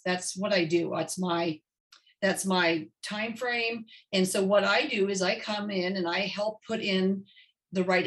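A woman's voice: mid-range voice, low-quality recording. A woman hosting a career podcast, chatty and affirming, sharing her own anecdotes with her guest. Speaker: podcast host. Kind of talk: conversational interview.

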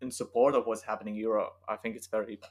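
A man with a Lithuanian accent talks as an author guest on a relaxed podcast. English is his second language, and he answers in a thoughtful, unhurried way, pausing to find his words. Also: lowish voice. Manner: thoughtful, unhurried, with frequent fillers and restarts. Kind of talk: casual podcast interview.

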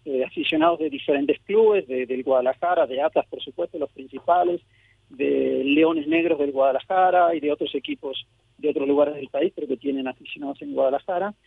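A sociologist born in Mexico and raised in Argentina, talking as a guest in a radio phone interview, thoughtful and hesitant with many fillers. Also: male voice, on the high side, fairly quick, creaky, breathy edge, dry recording. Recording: phone line.

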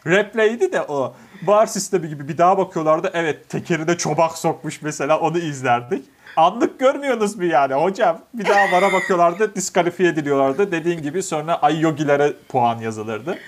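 Higher-pitched man, joking casually. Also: expressive, a little fast. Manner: colloquial, joking. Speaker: podcast co-host. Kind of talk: casual humorous podcast chat.

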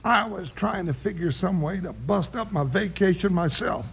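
An actor playing a folksy, jovial cowboy deputy with an American accent and a gravelly high voice, in old-time radio western style. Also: male voice, lively intonation, exaggerated voice. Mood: folksy, easygoing. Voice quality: gravelly, creaky, high-pitched. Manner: folksy, drawn-out exclamations and chuckles.

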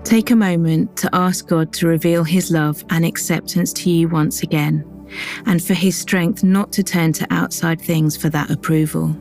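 A woman giving a calm, measured scripted devotional reading that moves into a guided prayer. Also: sits low, soft background music. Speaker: devotional reader.

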